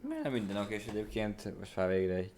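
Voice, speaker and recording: Very deep-voiced man streaming. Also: wildly swooping pitch, fast talker, darkish tone, soft background music. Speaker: game streamer. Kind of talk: casual livestream commentary.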